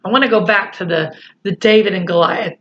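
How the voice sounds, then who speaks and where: A woman reading a children's book aloud, pausing for chatty asides to her listeners. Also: mid-range voice, muffled recording, slight background noise. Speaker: storyteller.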